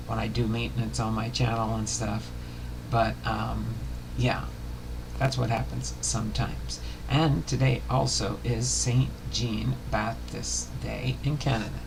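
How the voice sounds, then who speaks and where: A man hosting a casual livestream, chatting and reading aloud in a halting, unpolished way. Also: medium pitch. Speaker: livestream host. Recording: clearly noisy room recording.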